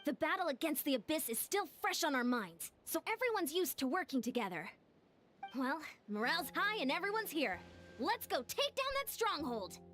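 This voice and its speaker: A woman's voice: high-pitched, sing-song, moderate pace, a bit bright, slight background noise. An actress playing an earnest, vigilant young female leader in an anime-style video game, with a focused, commanding manner. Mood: confident.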